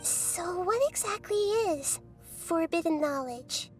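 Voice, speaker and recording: Game role: childlike little goddess. Extremely high, slow, earnest, tender-hearted, faint music underneath. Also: female voice, expressive, heavily acted. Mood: earnest curiosity. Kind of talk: scripted dramatic game dialogue.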